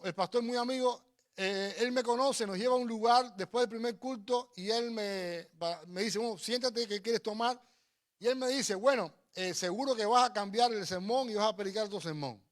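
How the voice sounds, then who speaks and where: A man preaching and telling personal stories in an emphatic, exhorting way, repeating phrases. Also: high-pitched. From Cuba. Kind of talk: sermon with personal storytelling.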